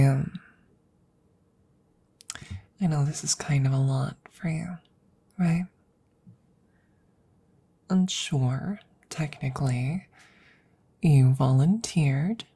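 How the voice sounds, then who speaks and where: A woman playing an affectionate, teasing witch in an ASMR audio roleplay, speaking softly and gently, often dropping into close whispers. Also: sits low, heavily acted. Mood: tender, doting. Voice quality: soft, breathy, husky, often dropping to whispers. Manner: gentle, unhurried, cooing, playfully teasing. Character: affectionate, teasing young witch doting on her werewolf familiar.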